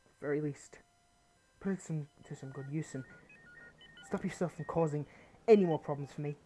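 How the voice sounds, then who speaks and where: A man's voice: fairly high pitch, brisk, warm tone, close to an everyday voice. Man acting a bitter, defiant superpowered fighter, noisy recording. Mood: bitter, dismissive. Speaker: audio roleplay voice actor. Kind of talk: dramatic ASMR audio roleplay acting.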